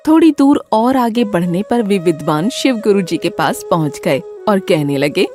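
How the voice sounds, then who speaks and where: A woman's voice: mid-range voice, expressive, moderate pace, darkish tone, soft background music. A woman narrating a scripted children's moral story as the storyteller.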